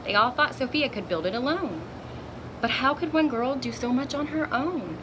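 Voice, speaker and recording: A woman reading a children's picture book aloud in an expressive storytelling manner, with little asides to young listeners. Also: high voice, moderate pace, noisy background. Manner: expressive, acts out exclamations and dialogue.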